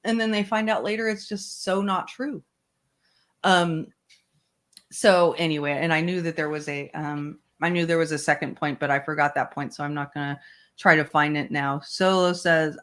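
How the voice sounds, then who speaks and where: A woman hosting a casual online book club stream, talking in a rambling, animated way and laughing often. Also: deep voice. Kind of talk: casual livestream chat.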